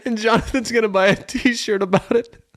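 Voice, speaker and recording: A man's voice: high-pitched, steady tone, normal speed, fairly bright tone, dry recording, clean studio sound. A man co-hosting a podcast in casual comedic banter, telling jokey stories with emphatic repetition. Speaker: podcast co-host.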